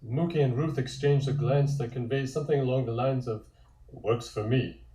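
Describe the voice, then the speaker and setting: A man's voice: medium pitch, fairly even intonation, moderate pace, mellow. A man reading scripted scene descriptions as the narrator of a table read. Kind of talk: scripted stage-direction narration. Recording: roomy, echoey room.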